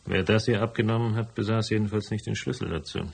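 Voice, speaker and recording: An actor playing a dry, laconic, world-weary private detective in a radio drama, with a gravelly voice. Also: male voice, fairly low pitch, fairly even intonation, quick pace. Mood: dry, guarded. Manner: laconic, sardonic. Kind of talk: radio drama dialogue and first-person narration.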